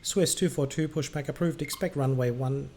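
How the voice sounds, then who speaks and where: A man's voice: mid-range voice, steady tone, normal speed, darkish tone. A man hosting a gaming livestream, chatting casually and hesitantly between air traffic controller calls. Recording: clearly noisy background.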